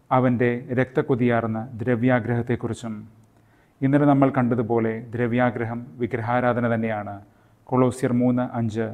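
A man, a friar and podcast host, giving a scripted Bible reflection in measured, literary phrasing. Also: medium pitch, phone-quality sound.

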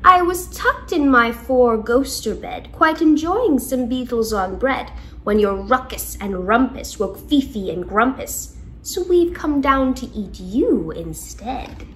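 A woman's voice: fairly high pitch, expressive, slow, a bit bright, low-quality recording. A woman voicing a haughty, menacing witch shopkeeper from a storybook, scolding and imperious. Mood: indignant, menacing.

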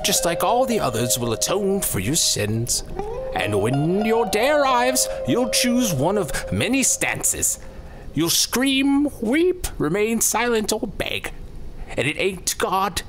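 A man's voice: high-pitched, huge pitch swings, takes it slowly, heavily acted, poor audio. A man playing a smug, menacing death row officer in an audio drama, with a mocking, folksy, theatrical manner. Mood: smug menace. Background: music.

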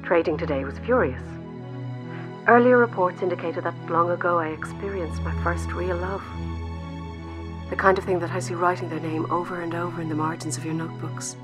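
A low, wistful broadcaster, acted by a woman in a radio drama. She speaks in a measured, poetic and intimate way, disillusioned and lovelorn, while new-age music plays prominently beneath her. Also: lightly acted.

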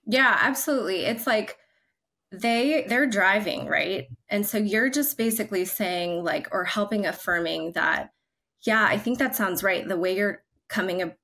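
A woman's voice: medium pitch, measured pace, pushed and bright. A woman in her forties, a guest on a video-call interview, talking in an upbeat, chatty way with lots of fillers from her living room. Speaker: guest, creative brand leader. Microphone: video call, laptop camera.

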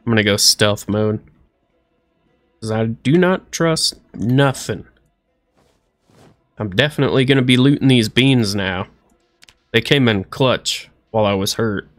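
Slow, easygoing man narrating his gameplay. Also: mid-range voice, faint music behind. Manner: goofy, talks to himself, playful silly voices. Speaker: let's play streamer. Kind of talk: casual gameplay commentary.

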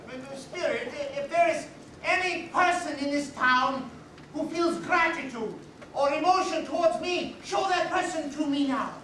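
A man's voice: extremely high pitch, unhurried, background noise. A man playing a stingy old miser on a theater stage, flustered and stammering, heard from the audience in an echoey hall. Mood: anxious. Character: stingy old miser watching his own future. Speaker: stage actor. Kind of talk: amateur stage musical. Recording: echoey hall, distant camera mic.

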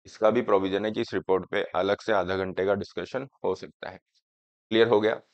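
Brief: man in his 30s with a cold, hoarse, lowish voice, patient teacher checking that students follow, dry and slightly dull sound. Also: dry recording. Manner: explaining slowly, often asking students if it is clear. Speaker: exam coaching teacher. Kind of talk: lecture on current affairs, Hindi mixed with English. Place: studio classroom, in front of a screen.

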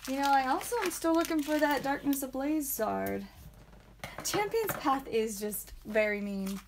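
A woman hosting a live card pack-opening stream, chatting playfully and saying sound effects aloud, with a calming voice. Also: sits high, a little slow, background noise. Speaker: trading card stream host. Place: indoor room with whiteboard.

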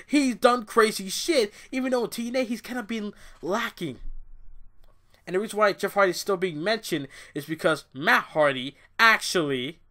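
A man's voice: high voice, sharp tone. A man giving a blunt, profane rant while reviewing a wrestling show, swearing freely and sounding exasperated. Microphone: off-camera voice-only recording.

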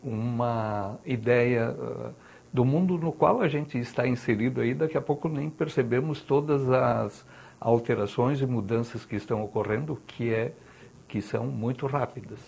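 A man, a guest on a studio talk show, explaining things in a slow, deliberate way. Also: mid-range voice, creaky, darkish tone, slightly rough. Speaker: guest, charity president. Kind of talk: interview answers. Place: TV studio set, indoors.

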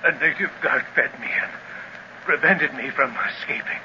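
An actor playing a weak, feverish kidnapped investor, speaking in halting, broken phrases in a 1930s radio serial, heard through an old recording with a faint hiss. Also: male voice, fairly high pitch, animated, bright, forceful tone, muffled recording. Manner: halting, breaks off mid-sentence.

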